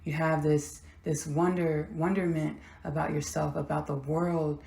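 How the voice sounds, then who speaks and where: Slow, low-voiced woman musing. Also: flat delivery, creaky, a little mellow, reverberant. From Texas. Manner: slow, halting, meditative delivery with long pauses. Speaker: podcast host. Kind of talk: spiritual monologue.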